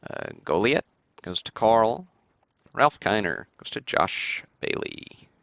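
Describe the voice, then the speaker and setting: A man with a deep, smooth voice hosts a card break stream, reading out items and names in an easygoing, casual way. The sound is very dry and close. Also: wildly swooping pitch, slow, a bit bright, no echo, lo-fi sound. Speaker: host of a sports card break livestream. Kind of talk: casual livestream commentary, calling out cards and buyers.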